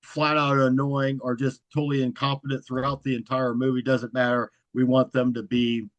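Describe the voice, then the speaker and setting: Even-toned man chatting as a guest. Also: medium pitch, moderate pace, no room sound, muffled recording. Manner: self-described monotone, even delivery. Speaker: guest, horror content creator. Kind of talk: casual interview chat.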